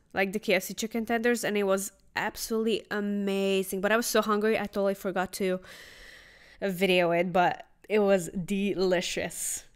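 A woman's voice: medium pitch, clean tone. A woman travel vlogger chatting casually about market food as she tastes it. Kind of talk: casual travel vlog narration. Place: outdoor night market.

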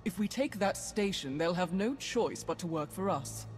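A woman playing a solemn, formal female dark lord in a video game. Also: on the low side, expressive, moderate pace, lightly acted. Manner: formal, grave. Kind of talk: video game cutscene acting. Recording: long reverb, soft music behind.

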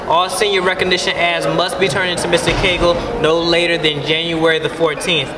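A man's voice: a little high, flat delivery, brisk, echoey room, very noisy. A teenage boy reading school announcements as student news anchor. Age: teens. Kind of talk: school announcements read aloud. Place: school gym, indoors.

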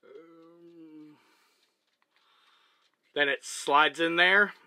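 A man in his thirties with a Texan accent explains his camera rig step by step, relaxed and chatty. His voice is bright and sharp. It is recorded dry and close inside a small truck cab. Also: fairly high pitch, drawn-out words, no room sound. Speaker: vlogger. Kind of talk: casual gear demonstration. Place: small living cabin of a truck, indoors.

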